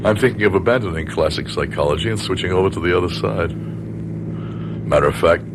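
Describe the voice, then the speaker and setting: A man's voice: low voice, fast, background noise. An actor playing a middle-aged, devoted and worried professor father in a radio drama, speaking in a formal, articulate, reflective way. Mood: genial. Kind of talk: American radio drama acting with first-person narration. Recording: radio drama with music behind.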